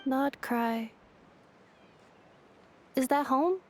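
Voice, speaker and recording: A woman voicing a calm female android in a game, speaking in a flat, formal and precise way. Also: sits high, very slow, noisy background. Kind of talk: game voice acting.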